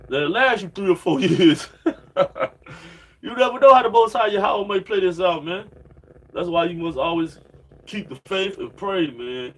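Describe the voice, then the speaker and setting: A man's voice: fairly high pitch, rough recording. A man in his late thirties preaching informally and reading scripture, rambling in slang and padding nearly every sentence with "man" and "you know what I'm saying.". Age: 30s. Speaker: religious preacher.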